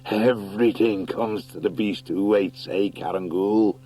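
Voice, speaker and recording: A man's voice: fairly low pitch, fairly even intonation, a little slow, warm tone, faint music behind, lightly acted. Wildcat conqueror villain for fantasy audiobook, male reader acting: proud, smug, scheming, grand in his speech. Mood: gloating.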